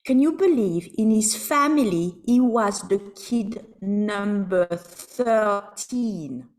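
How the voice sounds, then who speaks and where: A woman's voice: mid-range voice, expressive, takes it slowly, a little mellow. A woman in her forties with a French accent, giving an encouraging webinar lecture over a video call. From France and Switzerland. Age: 40s.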